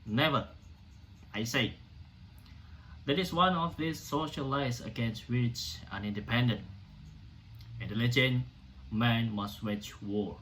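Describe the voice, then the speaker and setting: Defiant doctor in a stage play, acted by an amateur male reader, medium pitch, declamatory and indignant, slightly noisy recording. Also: fairly bright tone. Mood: defiant, emphatic. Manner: emphatic, declamatory, halting in places. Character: defiant, indignant doctor orating to a hostile crowd.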